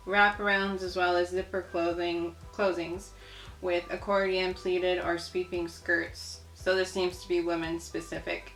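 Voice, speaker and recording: A woman's voice: lowish voice, bright, forceful tone. A woman hosting a casual video, chatting and marvelling as she reads aloud from old printed material. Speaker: video host. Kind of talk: casual chat while reading aloud from old newspapers. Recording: roomy echo, faint music behind.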